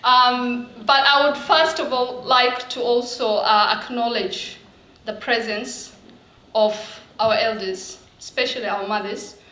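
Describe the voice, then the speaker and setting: A woman's voice: on the high side, fairly even intonation, takes it slowly, bright, forceful tone, roomy sound, low-quality recording. A woman giving an earnest, heartfelt public speech at a community event.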